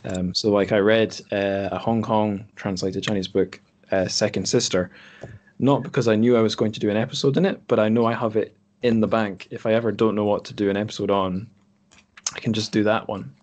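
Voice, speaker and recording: A man's voice: on the low side, steady tone, fairly quick, a little mellow. A man hosting a podcast, talking casually in a rambling, reflective way. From Scotland. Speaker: podcast host. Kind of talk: casual conversation. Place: group online call.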